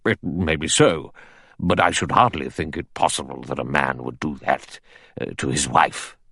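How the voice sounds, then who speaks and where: A man voicing a testy, gruff old army general in an audiobook, with an abrupt, clipped manner. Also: rumbling low, normal speed, sharp tone, no echo, clean studio sound, exaggerated voice. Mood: doubtful.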